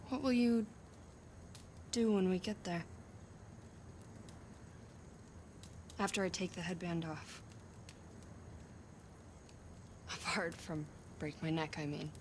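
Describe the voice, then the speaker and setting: A woman's voice: on the low side, steady tone, normal speed, slight background noise, close to an everyday voice. A woman playing an earnest, resourceful young woman in a video game, with natural delivery. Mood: hesitant. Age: young adult. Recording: music behind the voice.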